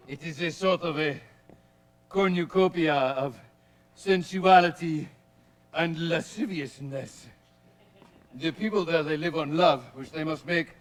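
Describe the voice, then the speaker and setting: A flamboyant, swaggering poet-adventurer, spinning wild tales in a high voice. He talks in grand, theatrical, rambling bursts, as in a staged period drama. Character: witty swaggering male poet posing as a raving space traveler.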